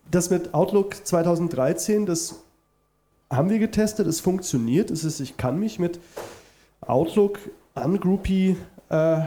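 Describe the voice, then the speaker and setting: Man, has a cold, conference presenter, hesitant, fairly high pitch, very dark tone. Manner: hesitant, many fillers and restarts. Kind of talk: conference talk answering questions.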